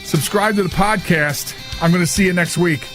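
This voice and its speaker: A man's voice: sits high, normal speed, over music. An American man hosting a podcast in casual, unscripted talk, earnest and emphatic, often repeating himself as he goes. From United States. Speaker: talk show host.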